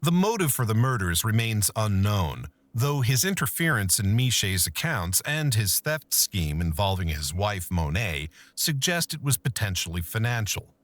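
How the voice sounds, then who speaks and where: A man narrating a true-crime documentary in a measured, scripted storytelling manner. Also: lowish voice, big pitch swings, fairly bright tone, dry recording.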